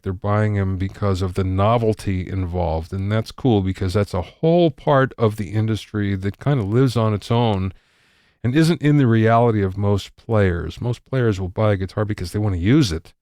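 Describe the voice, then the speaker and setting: A man's voice: lowish voice, a little mellow, dry recording, clean studio sound. A man hosting a music-production podcast, reading news items with wry asides before introducing his guest. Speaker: podcast host. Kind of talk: scripted news segment and interview.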